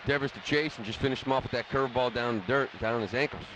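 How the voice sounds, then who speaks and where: A man's voice: mid-range voice, fast, background noise. A man giving informal, conversational color analysis on a live radio baseball broadcast. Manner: informal, explains plays in everyday talk. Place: broadcast booth at an outdoor ballpark. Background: loud ballpark ambience.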